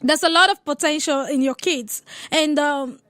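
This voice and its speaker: A woman's voice: high-pitched, normal speed, a bit bright, dry recording. A woman hosting a casual radio interview. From Africa. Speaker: radio show host.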